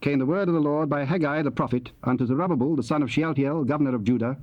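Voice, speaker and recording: Man narrator, slightly high voice, solemn formal bible narration. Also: quick pace, muffled recording. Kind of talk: formal scripted scripture narration.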